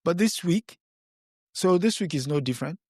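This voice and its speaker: A man hosting a podcast, introducing and questioning his guest in measured, formal phrasing. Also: high-pitched, lively intonation, breathy edge, no room sound, clean studio sound. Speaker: podcast co-host.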